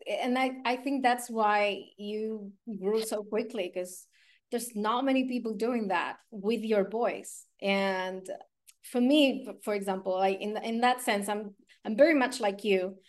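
A woman's voice: medium pitch, a little slow. A woman hosting a casual interview, speaking directly and bluntly, with many fillers. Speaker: interview host.

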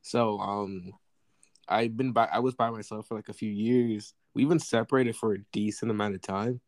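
A man's voice: fairly low pitch, steady tone, moderate pace, dry recording. A young man co-hosting a casual sibling podcast, teasing and needling his sister in a mock-serious way. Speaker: podcast co-host. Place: apart from his co-host, remote recording.

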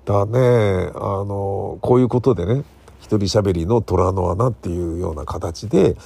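A man's voice: fairly low pitch, measured pace, gravelly, warm tone, breathy edge. A man in his sixties talking casually on his own as a podcast host, musing and rambling in a colloquial way. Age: 60s. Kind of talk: casual solo free talk.

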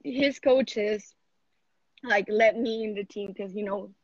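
A woman's voice: medium pitch, takes it slowly, a little mellow, no room sound, lo-fi sound. A seventeen-year-old woman from Mexico, an athlete, chatting casually in an interview over a video call and saying "like" a lot. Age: teens. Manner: chatty, heavy use of "like".

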